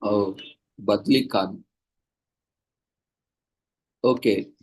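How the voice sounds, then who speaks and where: A man's voice: fairly low pitch, steady tone, extremely slow, darkish tone, slightly rough. A man organizing a seminar, patiently explaining procedures to participants over a video call. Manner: patient, instructive, plain and practical.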